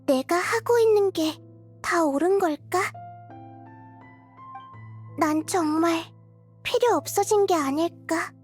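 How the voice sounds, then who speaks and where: A woman voicing a small, gentle child goddess in a game, soft-spoken, thoughtful and wistful, with measured phrasing. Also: very high-pitched, faint music behind. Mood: lonely, questioning. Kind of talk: Korean game voice acting, introspective monologue.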